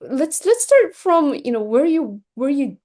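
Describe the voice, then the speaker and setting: A woman's voice: sits high, big pitch swings, measured pace, dark tone. A Korean-born woman hosting a podcast interview, chatting enthusiastically with fillers and asides. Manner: enthusiastic, conversational, frequent fillers. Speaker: podcast host and executive coach.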